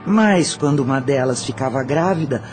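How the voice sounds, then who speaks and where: A man's voice: mid-range voice, expressive, normal speed, over music. A man with a Brazilian accent narrating a scripted first-person audio story.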